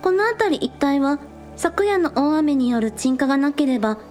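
Teen village idol, played by a woman: very high voice, cute, earnest and excitable, audio drama with music behind. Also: moderate pace. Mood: earnest reporting. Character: bubbly teenage girl village idol, streaming live. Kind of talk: Japanese audio drama acting.

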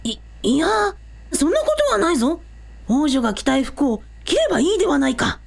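Creaky, high-voiced pompous young prince played by a woman. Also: very animated, brisk, exaggerated voice. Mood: flustered. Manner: pompous, stilted. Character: lordly young handsome prince, easily flustered. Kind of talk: Japanese audio drama acting.

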